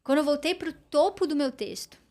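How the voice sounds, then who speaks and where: A woman with a bright, high voice hosts a casual podcast conversation. She talks quickly and expressively, using informal slang as she recounts her own experiences. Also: lively intonation. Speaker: podcast host.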